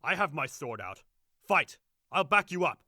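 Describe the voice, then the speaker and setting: A man performs a brash young servant boasting crudely in an audio play. The voice is bright and swings widely in pitch. It is recorded dry and close. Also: very animated, normal speed, no echo, heavily acted. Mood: cocky. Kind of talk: audio drama acting.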